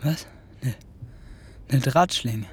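A man's voice: medium pitch, slow pace, breathy edge. A man playing a taciturn, tough teenage village boy in a naturalistic German radio drama, answering bluntly in few words. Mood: stunned, subdued. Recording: outdoor ambience with crickets, background noise.

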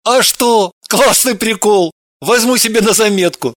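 A man playing an easygoing, teasing, sometimes puzzled man in an audiobook, with an excitable manner. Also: sits high, unhurried, no echo. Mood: amused. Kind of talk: audiobook character acting.

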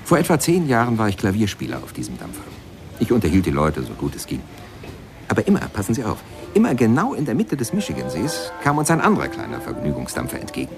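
Deep voiced guy, old ship pianist reminiscing, lyrical radio play storytelling, lively melody, bit of music under it. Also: fast. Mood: wistful storytelling. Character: reflective male former steamboat pianist telling his memories. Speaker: narrator and protagonist of a radio play. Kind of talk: literary radio drama narration.

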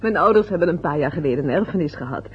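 A very breathy young fiancée in a radio play, polite and eager to please, with soft nervous laughs. Her pitch sits in a middle range. The recording is dull and lo-fi, from an old radio broadcast. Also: animated, very fast, full character voice. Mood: earnest. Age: young adult.

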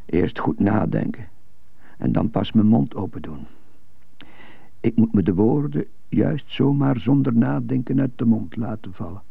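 An actor playing a bitter, tormented man who stutters, brooding in a halting radio drama monologue. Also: lowish voice, expressive, full character voice. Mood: anxious, stuttering. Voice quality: stuttering, husky. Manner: brooding, halting, resentful muttering. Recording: muffled, roomy, narrow-band old radio recording.

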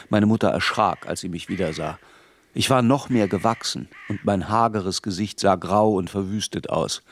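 Man as low-voiced reflective narrator. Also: close to an everyday voice. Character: reflective man narrating his troubled youth. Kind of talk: scripted first-person literary narration.

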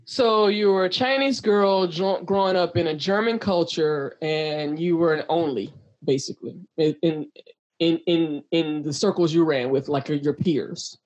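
A woman's voice: low voice, measured pace, a little mellow, dull audio. A woman interviewing a guest in casual talk, frank and blunt, swearing at times while searching for careful wording.

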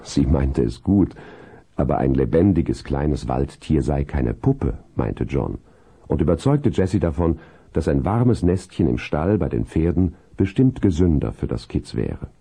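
An actor narrating a children's radio play as a storyteller, in scripted, measured narration. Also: male voice, extremely low pitch, big pitch swings, gravelly, mellow, breathy edge, full character voice. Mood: measured storytelling. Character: calm male narrator of a children's horse story. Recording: 1970s vinyl radio-play recording.

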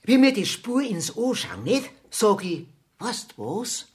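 A woman's voice: medium pitch, sing-song, takes it slowly, a little mellow. An actress playing a blunt, feisty peasant woman in a radio play, telling her tale emphatically in southern German dialect. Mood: earthy, confiding. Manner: emphatic, earthy storytelling. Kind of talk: 1970s radio drama acting in dialect.

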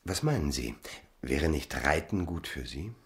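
Radio drama: ardent, suave seducer, very low and unhurried, widely swinging melody, insistent flattery. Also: male voice, no room sound, full character voice. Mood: smooth, courteous. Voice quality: husky, very deep. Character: suave, ardent male seducer wooing a married woman.

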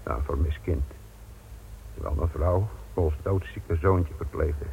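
A man's voice: deep voice, slow pace, creaky, slight background noise, full character voice. A man acting a dogged, sardonic middle-aged police inspector in a radio drama, blunt and embittered by his work. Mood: bitter. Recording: tinny narrow-band radio drama recording.

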